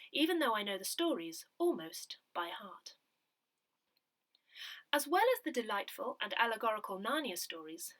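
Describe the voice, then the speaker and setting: Female reader for newsletter narration. Bright, lively intonation, measured and expressive delivery. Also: on the high side. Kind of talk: scripted narration of a church newsletter.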